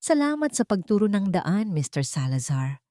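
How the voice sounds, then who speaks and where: A woman's voice: medium pitch, big pitch swings, moderate pace, mellow, no room sound, clean studio sound, natural voice. A woman voicing a cold, smirking male leader of armed thugs, mocking and menacing.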